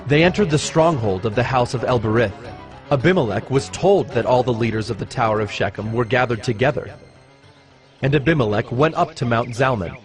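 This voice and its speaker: Male scripture narrator over music. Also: medium pitch.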